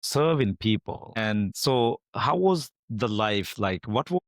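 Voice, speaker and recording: A man's voice: fairly low pitch, lively intonation, slow pace, dry recording, clean studio sound. Friendly man hosting an interview. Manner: friendly, brief prompts, non-native English phrasing. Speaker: podcast host.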